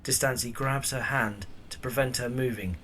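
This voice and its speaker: A man's voice: medium pitch, moderate pace, fairly bright tone. Male narrator, faint background noise. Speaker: audio drama narrator. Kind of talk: scripted narration.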